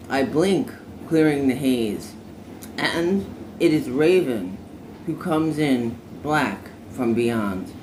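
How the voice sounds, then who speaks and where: A man recites spoken word poetry at a slow pace. He breaks lines into short, deliberate phrases and stresses them dramatically. His voice sits at a medium pitch, over clear background noise. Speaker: poet reciting own poem. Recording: clearly noisy recording, ambient background.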